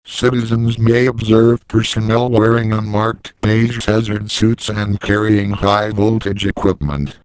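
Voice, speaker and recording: A man playing a calm, stern 1980s civil defense announcer, reading a formal emergency message in a measured, deadpan, official manner. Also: lowish voice, smooth, no room sound, close to an everyday voice, poor audio. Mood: grave, urgent.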